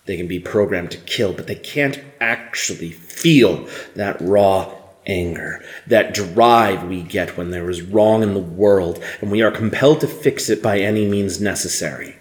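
An actor playing a stern, battle-hardened rebel commander in an audio drama, measured and commanding, with simmering anger held under control. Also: male voice, sits low. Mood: impassioned, intense.

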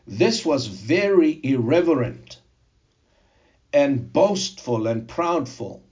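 An older man whose first language is Greek, preaching a sermon and telling personal testimony in a slow, emphatic way. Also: mid-range voice, lively intonation, darkish tone, lo-fi sound. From Zimbabwe.

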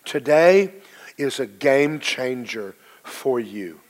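Hoarse, low-voiced man preaching. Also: animated. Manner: emphatic preaching with slow drawn-out stresses. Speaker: pastor. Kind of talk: sermon.

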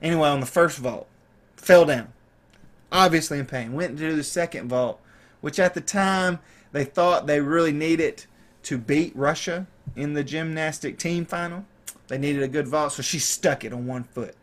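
Thirties male podcast host, lively and jokey, voice sits a bit high. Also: normal speed. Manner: animated, laughs often, tells sports trivia. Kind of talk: casual chat.

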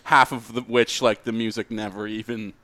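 A man's voice: fairly low pitch, little pitch movement, normal speed, bright, forceful tone, no room sound. A man bantering casually as a podcast co-host and game contestant.

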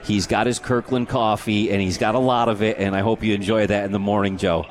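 Lower-voiced man hosting sports radio. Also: fairly even intonation, fairly quick. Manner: announcer-like, reads sponsor credits. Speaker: sports radio show host. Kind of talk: live radio sports talk. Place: tavern and grill, live remote broadcast.

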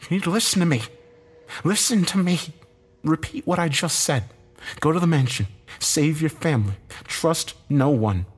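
A man's voice: on the high side, lively intonation, moderate pace, husky, soft background music, voice pushed up. A man voicing a desperate, bitter man in a dramatic story, agitated, urgent and given to swearing. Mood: desperate, commanding. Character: Josh, desperate bitter man, cornered game loser.

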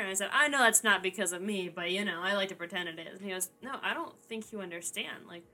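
A young woman co-hosting a casual science podcast, talking in an animated way with quick asides. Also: medium pitch. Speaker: podcast co-host. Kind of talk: casual chat.

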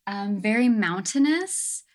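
A woman's voice: medium pitch, lively intonation, slow pace, a little mellow. A young adult woman talking casually as an interview guest, reflective and thoughtful, with frequent "um" and "like". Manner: reflective, many fillers like "um" and "like". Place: her home on an island.

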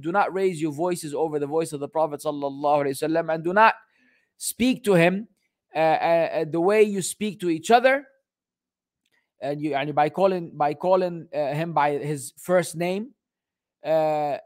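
A man giving an Islamic religious lesson as a teacher, moving between Arabic text and English translation. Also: on the high side. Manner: halting English delivery, rapid fluent Arabic recitation.

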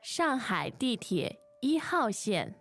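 Woman co-host with lively, unhurried delivery. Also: a little high, faint music behind. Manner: frequent agreeing, repeats phrases. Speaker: language lesson co-host. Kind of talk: conversational language teaching.

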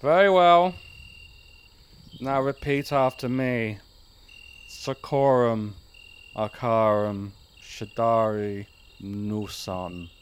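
An actor playing a pompous lodge master of a werewolf cult in a comic horror audio drama, grandiose with dry asides. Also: male voice, medium pitch, very slow, long pauses. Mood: solemn, commanding. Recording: night insect ambience, clear noise.

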